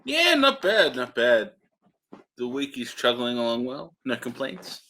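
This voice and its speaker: Male co-host, bright mid-pitched voice, casual review chat, dry and understated. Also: very animated, measured pace. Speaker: co-host of a film review show.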